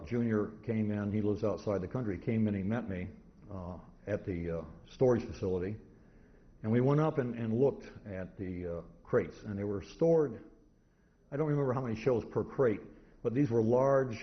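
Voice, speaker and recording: A middle-aged American man presenting an informal lecture at a convention, in a halting, methodical, anecdotal manner. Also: on the low side. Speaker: presenter, old-time radio collector. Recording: dull, noisy live room recording.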